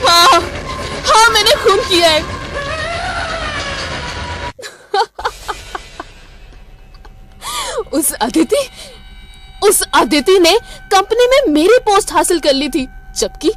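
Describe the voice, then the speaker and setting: A woman's voice: very high-pitched, fairly bright tone, full character voice. A woman playing a bitter, lonely young murderer in a radio crime drama, stammering and repeating herself theatrically. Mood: furious confession. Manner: stammering, breathless repetition, theatrical outbursts.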